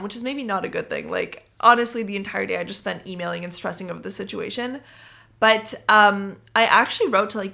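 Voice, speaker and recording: A young woman hosting a casual podcast and telling a story in an animated, indignant way. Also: mid-range voice, vocal fry. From English-speaking country, living in France eight years. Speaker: podcast host. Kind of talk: casual solo podcast chat.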